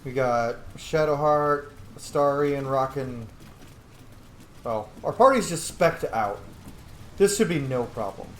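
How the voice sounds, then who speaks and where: A man's voice: mid-range voice, lively intonation, slow. Sardonic man commentating in a roomy space. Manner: rambling, sweary, sardonic. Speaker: video game streamer and host. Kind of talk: casual gameplay commentary. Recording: roomy, faint background noise.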